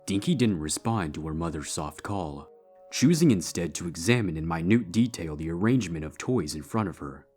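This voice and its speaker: A man narrates a scripted story in a very low voice with a husky, rough edge. Music plays clearly underneath his reading. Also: expressive, normal speed, darkish tone. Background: background music.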